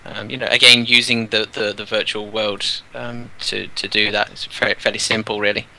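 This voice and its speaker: A man, a teacher, casually explaining his classroom activities while touring a game world, relaxed and rambling with many fillers. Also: fairly low pitch, moderate pace, gravelly, poor audio. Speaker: teacher, guest.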